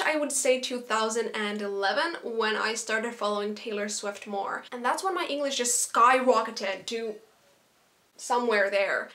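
A woman's voice: a little high, fairly bright tone. Bubbly young Finnish woman vlogging. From Finland. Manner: chatty and earnest, with little laughs. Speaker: YouTuber. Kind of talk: casual talk to camera. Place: small bedroom, indoors. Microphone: camera in front at close range.

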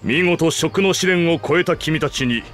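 A man's voice: fairly high pitch, unhurried, fairly bright tone. A man playing a solemn overseer of a trial in a Japanese video game, speaking formally and declaratively. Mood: ceremonial. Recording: background music under the voice.

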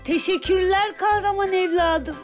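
Very high-voiced motherly cartoon auntie. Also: measured pace, faint music behind. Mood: grateful. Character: fretful, grateful motherly auntie. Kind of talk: animated children's cartoon acting.

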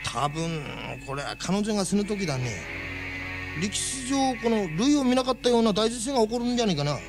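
A man's voice: high voice, very animated, brisk, over music. A man playing a folksy, fussy alien official in a radio drama, explaining things in a colloquial way. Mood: worried.

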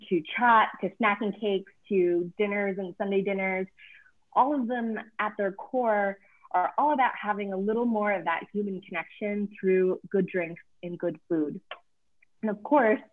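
A woman moderating a virtual author panel, speaking in an effusive, measured way over a video call. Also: mid-range voice. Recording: tinny phone-like video call audio.

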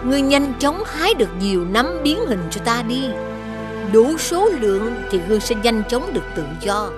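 A woman's voice: a little high, sing-song, moderate pace, over music. A woman voicing a vain, spiteful old witch in a children's cartoon, speaking in a gloating, imperious manner. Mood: bossy, scornful. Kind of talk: children's cartoon villain acting.

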